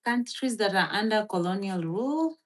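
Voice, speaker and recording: A woman's voice: mid-range voice, animated, moderate pace. A woman chatting casually on a live stream, rambling with lots of fillers as she thinks aloud and reads from a search. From Philippines. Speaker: livestream host.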